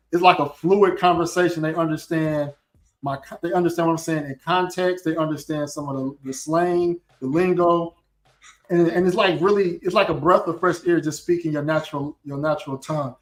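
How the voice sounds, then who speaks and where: Casting: male interviewer, higher voice, rambling halting questions, roomy echo, faint music underneath. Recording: echoey room with faint music.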